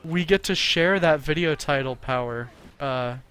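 Clear-voiced young man gaming. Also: a little high, a bit bright. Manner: enthusiastic, jokey, quick reactions. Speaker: gaming channel host. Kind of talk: casual co-op gameplay chat.

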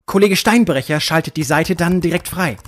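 A man voicing an eager, nervous young newspaper trainee in an audio drama, talking in an anxious, hurried way. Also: on the high side, natural voice. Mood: breathless, urgent.

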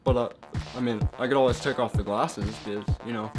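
A man's voice: medium pitch, fairly even intonation, a little fast, over music. An eighteen-year-old man vlogging in his bedroom, talking in a goofy, boastful, rambling way. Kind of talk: comedy vlog. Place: bedroom with gaming setup.

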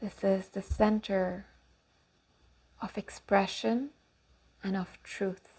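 Woman, meditation guide, slow pace, mid-range pitch, calm instruction, long pauses, affirmations. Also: husky.

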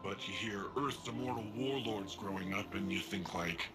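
A man's voice: lowish voice, normal speed, creaky. A young man voicing a cocky, playful rookie hero in a video game, chatty and casual. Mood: cheeky, excited. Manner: casual, hesitant asides, playful bragging. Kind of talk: video game dialogue acting. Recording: music behind.

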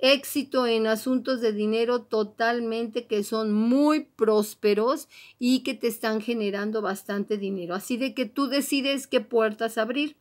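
A woman's voice: fairly high pitch, measured pace. A woman card reader speaking straight to the listener in an encouraging, exhorting manner, repeating her urgings as she gives a motivational horoscope reading. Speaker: tarot and oracle card reader, host.

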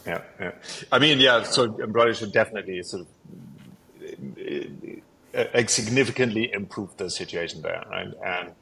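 A middle-aged man asking casual interview questions on a video call, haltingly and with repeated words. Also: fairly low pitch, gravelly, bright, forceful tone.

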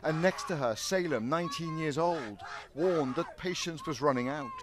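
A man voicing a news report as correspondent, measured and grave in delivery. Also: a little high. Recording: loud crowd and street noise behind.

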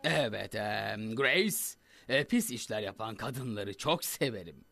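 Snide, lively cartoon bat hero. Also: male voice, lowish voice, unhurried, gravelly, soft background music, heavily acted. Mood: smug. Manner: snide, petulant. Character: vain, snide, irritable bat-costumed superhero man. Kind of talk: dubbed cartoon acting.

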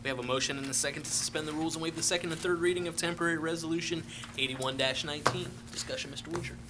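A man presides over a formal council meeting, moving briskly through the procedure. The recording carries clear background noise from the chamber. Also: a little high, fairly even intonation.

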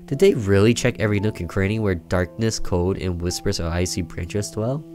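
Low-voiced man reading a horror game. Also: moderate pace. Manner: theatrical storytelling with side comments. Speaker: let's player. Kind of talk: reading a horror visual novel aloud. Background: game music with guitar.

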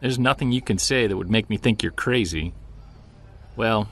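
A man's voice: fairly low pitch, normal speed, fairly bright tone, husky. A man playing a patient, reassuring investigator, talking in a conversational, coaxing way in a podcast drama. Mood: reassuring, wry. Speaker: actor in audio drama. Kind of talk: naturalistic podcast drama dialogue, interviewing.

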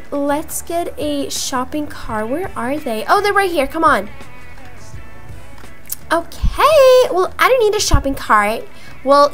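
Young woman doing game roleplay, really high voice, takes her time, music playing behind. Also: fairly bright tone. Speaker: gaming video creator voicing roleplay characters. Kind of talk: improvised Roblox roleplay dialogue.

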